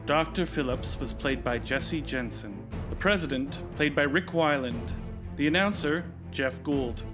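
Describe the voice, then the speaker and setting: A man reading scripted radio play credits as an announcer, formal and deliberate. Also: medium pitch. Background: music.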